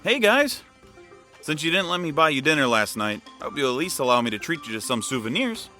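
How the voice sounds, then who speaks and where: A man's voice: a little high, very animated, a little fast, bright, forceful tone, soft background music. A man playing a friendly, gallant townsman, eager and courteous, in an audio fantasy drama. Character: friendly, gallant young townsman with easy charm.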